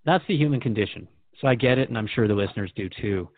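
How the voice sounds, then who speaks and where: A man's voice: fairly low pitch, expressive, fast talker, no echo, phone-quality sound. A man hosting a radio drama conversation, asking questions in an inquisitive, conversational way. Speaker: radio show host.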